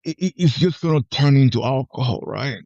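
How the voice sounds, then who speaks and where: A man's voice: mid-range voice, expressive, a little mellow, dry recording. A man in his forties from Puerto Rico talks casually in a mid-range voice. He restarts and repeats words, often seeking agreement. The sound is dry and a little lo-fi. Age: 40s. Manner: halting, repeats words, often checks with "right?". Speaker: podcast guest, army veteran. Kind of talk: casual personal conversation.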